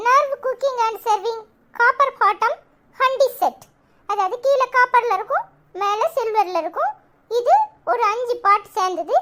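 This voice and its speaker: A woman voicing a chirpy little cartoon child who presents products in a scripted promotion, with a pitched-up voice. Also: pushed and bright, heavily acted.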